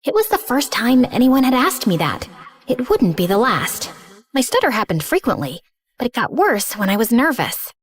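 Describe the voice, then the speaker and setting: A woman's voice: fairly high pitch, very animated, normal speed, breathy edge. A young woman playing an earnest teenage girl who narrates her own story in a dramatic, scripted style. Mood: wistful. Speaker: narrator of animated stories.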